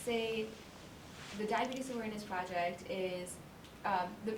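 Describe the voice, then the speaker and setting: A woman's voice: mid-range voice, measured pace, recorded from a distance. Off-mic woman interviewer in an echoey room. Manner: coaching, encouraging prompts. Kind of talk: off-camera interview prompting. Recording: roomy, off-mic with background noise.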